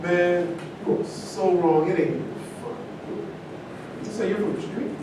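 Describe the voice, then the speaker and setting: A man in a staged play reading, playing an earnest, argumentative character who corrects his peers. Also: a little slow. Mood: exasperated. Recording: very echoey distant room, rumbling noise.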